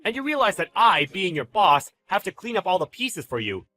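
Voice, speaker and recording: A man's voice: high-pitched, big pitch swings, brisk, bright, forceful tone, voice pushed up, heavily acted. A man playing a smug, bullying boss in a dramatized story, with a taunting, slangy, exclamatory delivery. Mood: scolding, contemptuous. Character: smug bullying male boss, gloating and contemptuous. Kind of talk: dramatized story narration with character voices.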